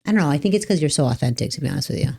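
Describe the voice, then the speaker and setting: A woman with a low voice hosts a relaxed podcast chat. She rambles warmly through her points, restarting sentences and leaning on "like". It sounds like easy talk between friends. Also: rapid-fire, vocal fry, husky. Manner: chatty, rambling, lots of "like" and restarts. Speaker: podcast host and trial attorney. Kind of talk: casual podcast conversation.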